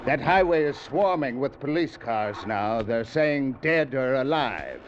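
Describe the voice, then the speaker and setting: An actor playing a smug, pompous escaped-convict ringleader in a 1940s radio drama, speaking with slow, sneering menace. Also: male voice, medium pitch, sing-song. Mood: commanding. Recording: dull, hissy old radio broadcast.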